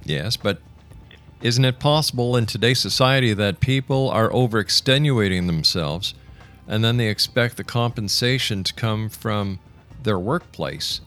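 Low-pitched male radio host, probing. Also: a little slow, a bit bright, soft background music. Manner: probing, skeptical. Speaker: radio show host and interviewer. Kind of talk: radio interview, challenging questions.

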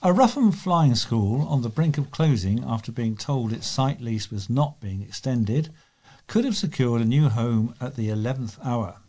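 British bloke reading out local news stories, soft mellow tone, medium pitch. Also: male voice, animated, normal speed. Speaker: volunteer newsreader.

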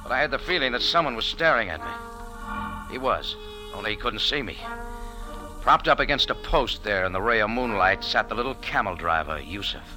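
An actor playing a tough American bar owner, narrating in a wry, world-weary hardboiled radio style. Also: male voice, fairly low pitch, animated, a little fast, sharp tone, faint music behind, rough recording. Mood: tense narration.

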